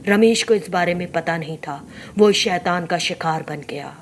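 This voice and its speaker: A woman's voice: fairly low pitch, normal speed, a little hiss or hum. A woman narrator reading a scripted horror story.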